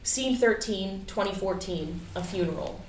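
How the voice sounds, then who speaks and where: A woman's voice: mid-range voice, unhurried. Echoey woman narrator reading stage directions. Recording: distant, echoey room, faint noise.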